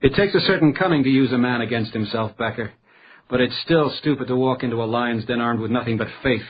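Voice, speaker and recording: An actor playing a cold, cunning criminal who poses as a judge, measured and menacing in a radio crime drama. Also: male voice, mid-range voice, highs cut off, slight background noise, natural voice. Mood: coolly condescending.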